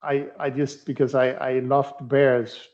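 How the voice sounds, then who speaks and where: A man's voice: mid-range voice, little pitch movement, measured pace, darkish tone. Hesitant man, muffled lo-fi sound. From Denmark. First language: Danish. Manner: hesitant, many fillers and restarts. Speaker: cardiology researcher, interview guest. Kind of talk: conversational expert interview. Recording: dull lo-fi sound.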